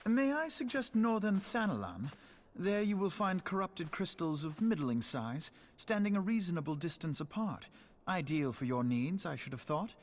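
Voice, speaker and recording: A courteous commander in a fantasy game. His voice sits a little high for a man, and his speech is formal and measured. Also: very animated. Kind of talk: scripted fantasy game dialogue.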